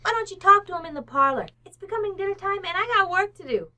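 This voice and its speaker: Brash working-class housekeeper for radio comedy, played by a woman: sharp, swooping delivery, blunt, slangy, unbothered. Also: very high-pitched, expressive, fast talker, heavily acted. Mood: bossy, impatient. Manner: blunt, slangy speech with "ain't" and "gotta". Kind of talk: old-time radio sitcom acting.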